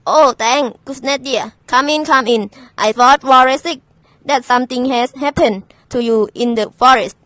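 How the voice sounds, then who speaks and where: A woman's voice: high-pitched, measured pace, a bit bright. A woman playing a caring, kindly maternal figure in an amateur storybook play. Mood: relieved. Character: caring mother, also kindly grandmother.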